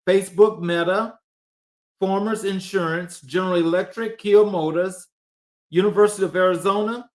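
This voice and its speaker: High-voiced man hosting an interview. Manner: formal list-like delivery, stumbles with fillers. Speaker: show host. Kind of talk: reading a guest introduction, then interview.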